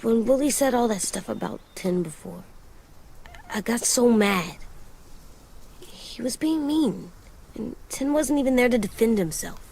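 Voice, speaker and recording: Slow, husky little boy. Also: a little high, expressive, a little mellow, heavily acted, low-quality recording. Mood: troubled, confiding. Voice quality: childlike, husky. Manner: halting, slow, plain-spoken. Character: earnest, brooding young boy survivor. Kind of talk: video game dramatic acting.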